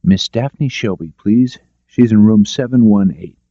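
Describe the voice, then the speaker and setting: A man's voice: on the low side, measured pace, mellow, dry recording, dull audio. Man voicing an efficient, wry female secretary. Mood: businesslike. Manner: businesslike.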